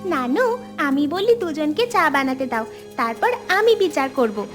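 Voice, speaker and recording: A woman puts on a little granddaughter in an animated family story. The girl is high-voiced, cheeky and eager to chime in among the grown-ups, over a music bed. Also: measured pace. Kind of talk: animated family drama dialogue.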